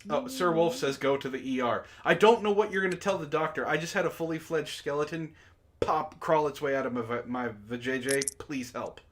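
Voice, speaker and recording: A man hosting a comedy book club, reading aloud and bantering casually in an animated, mock-dramatic way, prone to laughing. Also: fairly high pitch, brisk, slight background noise.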